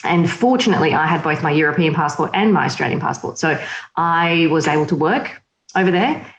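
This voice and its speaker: Woman from Slovakia, interview guest, chatty and laughing, lowish voice, roomy echo. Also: a little fast. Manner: lively and chatty, laughs often. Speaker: magazine editor, interview guest. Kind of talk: casual interview chat.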